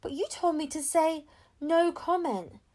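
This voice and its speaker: A woman voicing an excitable, curious primary-school child in a storybook reading. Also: sits high, measured pace, voice pushed up. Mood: puzzled, protesting.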